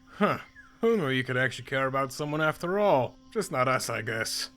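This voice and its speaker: A man's voice: mid-range voice, expressive, normal speed, fairly bright tone, faint music behind. A man playing a laid-back, wry skeleton with a casual, dry, needling way of talking in a fan comic dub. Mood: wry, guarded.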